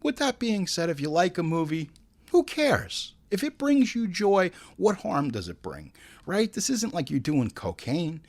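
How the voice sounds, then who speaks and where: A man's voice: high-pitched, very animated, unhurried. A man hosting a casual, comedic review show, talking in an emphatic, exasperated, slangy and profane way. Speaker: host of a movie review show.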